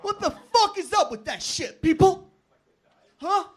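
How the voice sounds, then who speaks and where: A man with an Armenian accent, a second-language English speaker, doing a manic, loud stand-up set at an open mic. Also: extremely high pitch, expressive, a little slow. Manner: loud, manic, jagged delivery.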